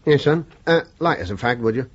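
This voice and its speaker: Husky, kindly British chauffeur, acted by a man. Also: medium pitch, expressive, fairly quick, lightly acted. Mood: relaxed. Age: middle-aged. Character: kindly, plain-spoken working-class chauffeur and family man. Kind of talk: British radio drama acting. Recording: slightly muffled radio play recording.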